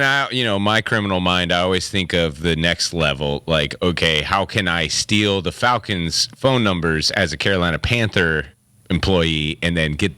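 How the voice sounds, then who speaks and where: Deep, bright-edged man chatting sports. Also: no room sound. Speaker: sports talk co-host. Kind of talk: casual sports chat.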